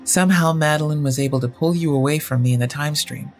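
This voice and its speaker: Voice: mid-range voice, fast, faint music behind, close to an everyday voice. A storyteller voicing a guarded, fanatically determined male inventor who explains himself in a formal, grave manner in an audio story.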